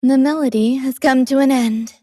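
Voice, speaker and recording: A woman voicing a gentle, devoted female android musician in a video game, soft-spoken, tender and poetic in a measured delivery. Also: high-pitched, steady tone, no echo, soft background music. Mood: tender, serene. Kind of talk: scripted game character voice lines.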